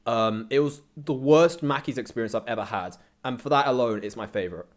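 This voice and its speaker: A man's voice: mid-range voice, a little fast. A young British man chatting casually as an interview guest, telling anecdotes in a slangy, rambling way. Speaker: interview guest, content creator.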